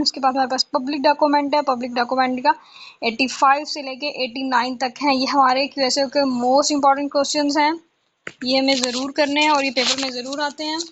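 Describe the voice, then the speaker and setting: A woman's voice: sits high, fairly even intonation, brisk, dull audio. A woman tutor from Pakistan giving an informal exam-prep lesson, reading through a list repetitively and asking herself questions as she goes. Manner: repetitive, asks herself questions before answering.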